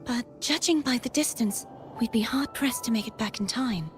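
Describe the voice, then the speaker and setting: A woman's voice: a little high, normal speed, natural voice. A woman voicing a composed, earnest young guide with powers in a video game. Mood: focused. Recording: game music behind the voice.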